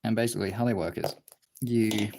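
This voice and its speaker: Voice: medium pitch, steady tone, brisk, dark tone. Congested, rambling streamer with noisy background. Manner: informal, sweary, rambling. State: congested nose, tired voice. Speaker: livestream host. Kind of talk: casual chat to livestream viewers while drawing.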